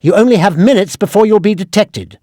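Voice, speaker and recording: An actor playing an exasperated older British gadget quartermaster in a video game, formal, precise and scolding. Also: male voice, high voice, animated, fairly quick, mellow, no room sound, clean studio sound. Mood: officious briefing. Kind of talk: video game mission briefing.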